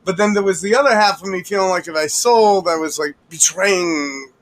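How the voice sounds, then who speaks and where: A man's voice: sits high, moderate pace. A man joining a livestream as a guest, self-deprecating and laughing at himself. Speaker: guest caller. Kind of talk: casual livestream chat.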